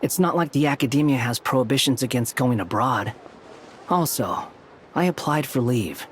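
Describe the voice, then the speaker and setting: An actor playing an aloof, sardonic young scholar with a dry, curt delivery in a game cutscene. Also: male voice, mid-range voice, animated, moderate pace, slight background noise, natural voice. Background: game soundtrack music.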